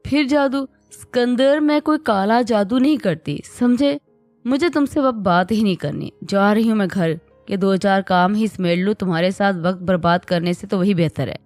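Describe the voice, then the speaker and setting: A woman voicing a touchy, quick-tempered young wife who sulks and snaps. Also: medium pitch, lively intonation, no echo, faint music behind. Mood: huffy. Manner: indignant, sulky.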